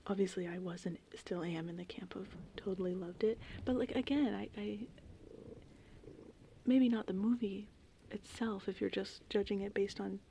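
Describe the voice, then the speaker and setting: A woman rambling casually and informally in an ASMR style, with a soft, breathy voice that often slips into a whisper. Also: medium pitch, a little hiss or hum. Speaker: ASMR host. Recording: steady rain and thunder ambience. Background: gentle rain and thunderstorm sounds.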